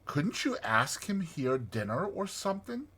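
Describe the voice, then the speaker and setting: A man's voice: a little high, sing-song, unhurried, pushed and bright, husky, voice pushed up, heavily acted. A man voicing a mild, eager Mole from a storybook, talking in a tentative way. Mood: tentative, hopeful. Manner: tentative, suggesting.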